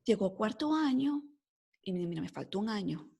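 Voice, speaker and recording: Woman in her forties, mixed Venezuelan accent, gravelly, husky, chatty podcast guest. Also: medium pitch, brisk. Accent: mixed Venezuelan Spanish, softened by years in the US. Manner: animated storyteller, frequent fillers like "este" and "o sea". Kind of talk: casual storytelling interview.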